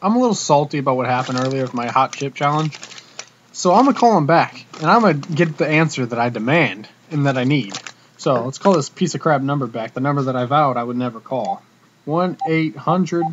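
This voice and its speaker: A young man chatting casually to camera in a cocky, slangy, animated way, like a comedic vlogger. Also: mid-range voice, fairly quick. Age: young adult. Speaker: vlogger, prank caller. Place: small room indoors. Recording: dull webcam audio with noticeable hiss.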